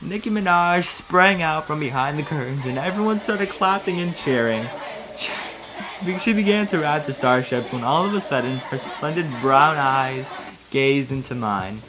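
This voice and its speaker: A man's voice: a little high, animated, normal speed, background noise. A man giving a casual, comedic dramatic reading of fan fiction, breaking off into amused, wry asides. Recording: music behind the voice, fluctuating quality. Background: music.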